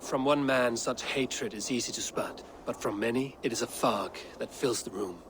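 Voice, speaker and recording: A man's voice: medium pitch, moderate pace, noisy background, lightly acted. Man acting a stoic, battle-hardened Viking raider. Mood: grim. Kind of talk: dramatic video game acting. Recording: loud ambient game sound behind voice.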